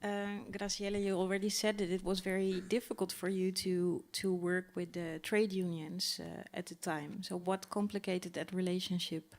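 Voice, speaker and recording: A woman's voice: lowish voice, level tone, moderate pace, few pauses, a little mellow. A woman moderating a public panel conversation. She asks long, carefully built questions.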